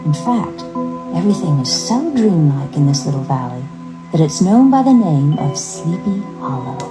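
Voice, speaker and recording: A woman narrates a dramatized storybook tale in a fairly low voice. Her delivery is scripted, measured and expressive. Music plays clearly underneath her. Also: rough recording.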